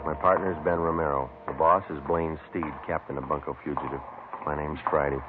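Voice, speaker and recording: A man playing a terse police detective sergeant in a 1950s radio drama, speaking in a clipped, deadpan, understated way. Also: very deep voice, brisk, music in the background. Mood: matter-of-fact. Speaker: lead actor and narrator. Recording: muffled, noisy old 1950s radio recording.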